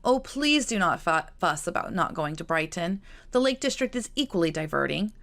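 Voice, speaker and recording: A woman voicing a sensible, gently firm young Regency gentlewoman in an audiobook. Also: fairly low pitch, very animated, normal speed, vocal fry, heavily acted. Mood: mild scolding. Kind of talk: audiobook character dialogue.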